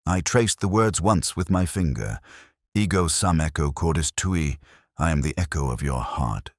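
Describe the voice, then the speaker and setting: A man narrating as a melancholy, world-weary police inspector, in a measured, literary and solemn way. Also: a bass voice, lively intonation, no room sound, clean studio sound, exaggerated voice. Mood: somber, reflective. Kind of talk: scripted first-person detective narration.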